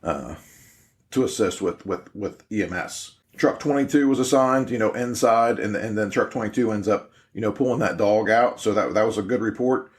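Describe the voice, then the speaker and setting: A man's voice: lowish voice, moderate pace. A man explaining and reviewing in an informal way, like an instructor, often checking in with "right?". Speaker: podcast host and incident command instructor. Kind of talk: casual spoken analysis of fireground radio traffic.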